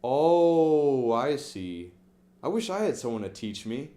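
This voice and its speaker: A man's voice: mid-range voice, sing-song, slow pace, warm tone. A young man acting in a student skit as a friend who is wary of the gym, delivering his lines plainly and a little stiffly. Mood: reluctant.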